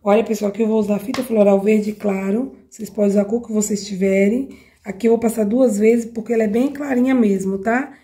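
Hoarse brazilian lady with a cold, mellow voice, chatty craft tutorial at her table. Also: mid-range voice, fairly even intonation, a little fast. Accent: Brazilian Portuguese. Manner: chatty, addresses viewers directly with frequent checks. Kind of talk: step-by-step craft demonstration. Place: home craft table, indoors.

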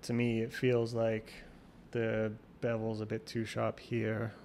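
A man's voice: fairly low pitch, completely flat delivery, takes it slowly, darkish tone. A man being interviewed on a call, talking in a rambling way that thinks aloud and restarts often. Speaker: guest, champion water skier. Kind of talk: casual interview conversation. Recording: remote call recording with faint noise.

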